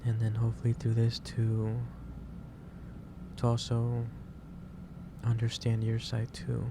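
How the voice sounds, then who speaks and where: A man playing a gentle, caring office boss in a soft-spoken ASMR roleplay, talking in a halting, reassuring way. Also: mid-range voice, completely flat delivery. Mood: patient, caring. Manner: halting, reassuring, unhurried delivery. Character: gentle, caring male office boss lecturing an overworked employee. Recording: clearly noisy background ambience.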